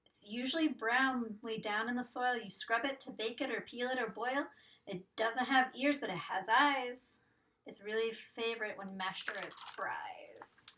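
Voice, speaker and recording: A woman teacher reading a picture book aloud to young children, expressive and sing-song, pausing to ask guessing questions. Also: fairly high pitch, recorded from a distance, slight background noise, rough recording.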